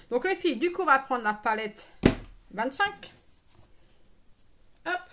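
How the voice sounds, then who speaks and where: A woman with a fairly high voice hosts a casual beauty live stream. She talks to her viewers in a chatty, familiar way, mixing step-by-step explanation with playful asides. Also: fairly bright tone.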